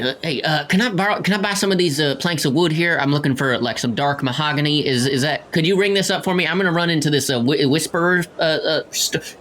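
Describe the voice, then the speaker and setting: Rambling, excitable man role-playing, faint noise. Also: fairly high pitch. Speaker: player in a tabletop role-playing game. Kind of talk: casual improvised role-play. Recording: faint background noise, room ambience.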